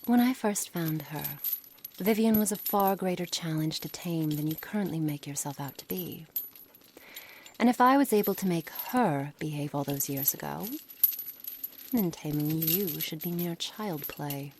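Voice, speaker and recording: A low, menacing witch acted by a woman speaks in a deliberate, formal ASMR monologue. She is cold, curious and condescending toward her captive, often sinking to a breathy near-whisper. Faint ambient noise sits behind the voice. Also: a little mellow. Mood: menacing, taunting. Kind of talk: ASMR roleplay, scripted dramatic monologue. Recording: noisy background ambience.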